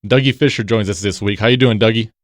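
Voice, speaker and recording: A man hosting a casual podcast, relaxed and full of fillers, often echoing his co-host's words. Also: lowish voice, fast talker, studio quality. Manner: relaxed, filler-heavy, repeats others' phrases. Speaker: podcast host.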